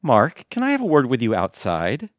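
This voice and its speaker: Man acting a touchy, sarcastic husband, swinging pitch. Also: wildly swooping pitch, normal speed, background noise. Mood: irritated, jealous. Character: touchy, jealous, sarcastic husband, easily humiliated. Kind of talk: audio theater comedy acting.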